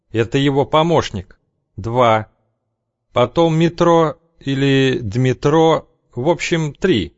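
A man voicing a sulky, aging male prisoner with a creaky, hoarse voice and a grumbling, touchy way of talking. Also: medium pitch, dry recording, highs cut off, pitched above the performer's own voice.

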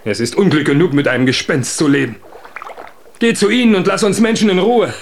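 A man's voice: medium pitch, expressive, slow, fairly bright tone, a little hiss or hum. A man playing a troubled knight who moves between bitter outbursts and weary grief. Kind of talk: radio drama acting.

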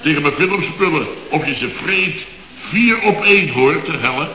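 An actor playing a grumbling, exasperated middle-aged contractor who rants sarcastically in clipped half-sentences in a radio comedy. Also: male voice, lively intonation, normal speed, roomy sound, in a large hall, a crowd in the background, natural voice. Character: grumbling, exasperated male building contractor, long-winded storyteller. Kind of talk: 1970s radio comedy acting. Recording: boomy, muffled old radio recording.

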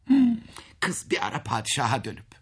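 Guy narrating a fairytale, super expressive, folksy little asides, bit raspy, mid pitch. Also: moderate pace. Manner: dramatic, folksy, with interjections to the listener. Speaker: storyteller.

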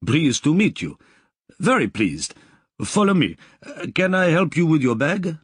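A man's voice: on the high side, very animated, measured pace, breathy edge, no room sound, studio quality, pitched above the performer's own voice, exaggerated voice. A man voicing an eager, apologetic male worker with a Belgian accent.

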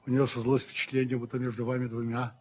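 A male actor as a dignified middle-aged father in a vintage radio play. His voice sits at a medium pitch, weighty and formal. The tape is dull with slight noise. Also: monotone, fast talker, natural voice. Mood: stern, deliberate. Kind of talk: Soviet radio drama acting.

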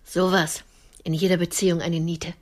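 Woman acting older lonely secretary in a radio drama, raspy voice, snooty and cutting but a romantic. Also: fairly low pitch, flat delivery, normal speed, natural voice. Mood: dismissive. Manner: cutting, haughty, dry. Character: lonely middle-aged female typist, haughty, sharp-tongued, romantic. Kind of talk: intimate radio drama dialogue.

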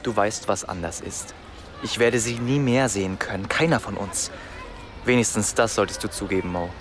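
An actor playing a remorseful, self-pitying young man, halting and defensive. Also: fairly low pitch, some chatter behind, slight background noise, close to an everyday voice. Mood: brooding.

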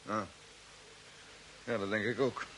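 An actor playing a determined expedition commander in an old radio drama, with a commanding, earnest manner. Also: male voice, fairly low pitch, flat delivery, takes it slowly, a bit bright, poor audio. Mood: firm, sober. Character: determined male space expedition commander on a Mars mission. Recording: old radio recording with faint hiss.

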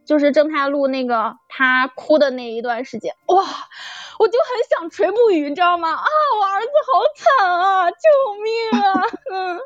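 High-voiced, effusive woman, lo-fi recording. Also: expressive, normal speed, fairly bright tone, dry recording. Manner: effusive, exclaiming, fangirlish. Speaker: audio drama producer and guest. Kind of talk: animated casual chat. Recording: dull lo-fi audio with music behind.

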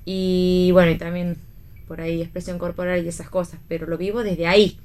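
A woman's voice: on the low side, level tone, a little fast, darkish tone. A woman with an Argentine accent, a guest actress and drama teacher, explaining things reflectively in a casual radio conversation.

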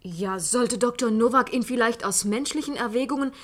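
A woman's voice: a little high, moderate pace, close to an everyday voice. An actress playing a prim, snappish young female junior lawyer in a German radio play, curt and correct. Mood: indignant. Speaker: actor.

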